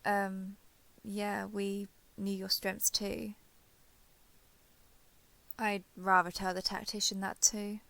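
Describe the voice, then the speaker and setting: A woman acts out a shy villainess who has reluctantly changed sides. Her voice is bright, with noticeable fry at the edges. She talks in a guarded, hesitant way, as in an intimate audio roleplay, recorded dry with no room around her. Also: mid-range voice, flat delivery, no room sound. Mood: reticent, awkward. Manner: hesitant, halting, reserved, understated. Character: shy, guarded young female villain turned reluctant ally. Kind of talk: roleplay audio drama, intimate one-sided dialogue.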